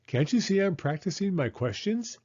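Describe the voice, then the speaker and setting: A man voicing an excitable, curious little girl in a storybook reading. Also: sits high, normal speed, husky, highs cut off, voice pushed up, exaggerated voice. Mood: pleading.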